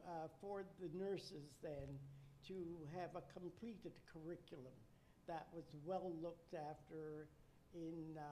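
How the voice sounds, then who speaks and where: Slow, measured older woman. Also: lowish voice, warm tone, a little hiss or hum, rough recording. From Nova Scotia, Canada. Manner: measured, reflective, with wry asides. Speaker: interviewee, retired nurse educator. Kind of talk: reflective personal storytelling.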